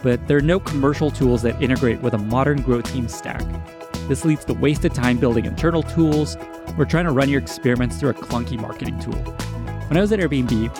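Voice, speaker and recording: A man hosts a podcast, reading sponsor spots in a smooth, scripted way before turning to questions for his guest. His voice sits in an ordinary middle pitch. Guitar music plays clearly behind him. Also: fairly quick. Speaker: podcast host. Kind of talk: read ad spots and interview questions.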